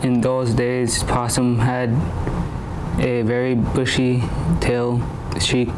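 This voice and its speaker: A young man reading a children's folktale aloud in a halting way, stumbling over words. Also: mid-range voice, monotone. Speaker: student story reader. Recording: clearly noisy amateur recording.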